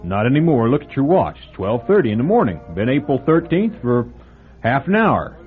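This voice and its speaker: Man voicing a gossipy rural diner owner, lively delivery, tinny old broadcast. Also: mid-range voice, a little fast, natural voice. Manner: folksy, relishing a gruesome story. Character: chatty small-town male diner owner, gossipy. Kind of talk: old-time radio drama acting. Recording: phone-like old radio broadcast with faint music.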